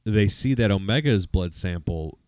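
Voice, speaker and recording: A man's voice: sits low, expressive, normal speed, darkish tone, clean tone, dry recording, clean studio sound. A man co-hosting a podcast, steering the casual discussion in an informal, conversational way. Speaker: podcast co-host.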